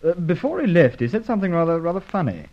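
A man's voice: fairly high pitch, big pitch swings, fast talker. An actor playing an earnest undercover police officer in an old radio serial, hesitant and puzzled as he talks. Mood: bemused. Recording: dull, lo-fi old radio recording.

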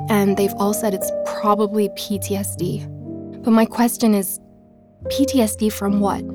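An actress playing a guilt-ridden young woman podcaster, narrating an audio drama as an intimate, halting, reflective confession. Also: mid-range voice, natural voice. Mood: weary, troubled. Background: music.

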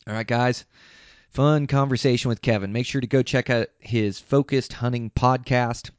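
An American man hosting a podcast, talking casually in a rambling, informal way, tired and a little hoarse. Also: medium pitch, steady tone, moderate pace. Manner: rambling, informal, hesitant phrasing. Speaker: podcast host. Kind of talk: casual podcast outro monologue. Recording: echoey room, slightly dull sound.

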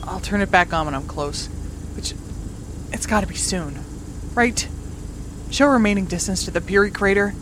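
A woman's voice: lively intonation, normal speed, long pauses, close to an everyday voice. A woman playing a tired solo traveler driving across the moon, muttering informally to herself. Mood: weary, restless. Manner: muttering, self-talking, informal. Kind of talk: audio drama acting. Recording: loud vehicle engine noise. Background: rumbling vehicle.

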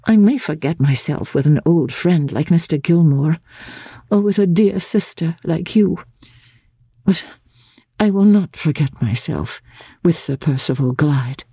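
A woman voicing a gentle, composed young lady in a classic literary drama, speaking formally and earnestly in a soft, breathy, sometimes hesitant voice. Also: low-pitched, expressive, no echo, voice pushed up, full character voice. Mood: quietly resolute. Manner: formal, measured, hesitant in places.

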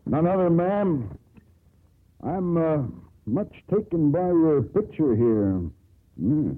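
A cocky, flirtatious sea captain in a vintage radio play. He teases with mock courtesy in a lively, husky voice, through a tinny broadcast. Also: on the high side, takes it slowly. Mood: teasing, flirtatious. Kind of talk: 1950s radio drama acting.

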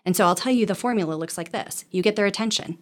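Fast-talking woman explaining like a counselor. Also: medium pitch. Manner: animated self-talk, checks in with "right?". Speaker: counselor or therapist, interview guest. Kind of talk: conversational explaining with examples and acted-out quotes.

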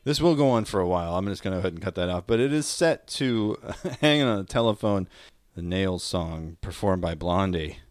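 Male podcast co-host, fairly deep, rambling comedic chat, dry studio sound. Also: animated, a little fast, dry recording.

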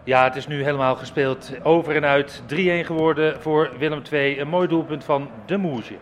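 Guy live football report, highish voice, outside at the ground, bit of background noise. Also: fairly even intonation, moderate pace. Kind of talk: live sports commentary. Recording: faint outdoor stadium ambience.

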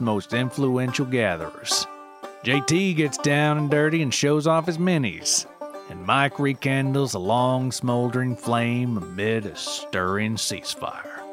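Man, scripted narration, mock-serious, theatrical documentary storyteller, medium pitch, soft music bed.

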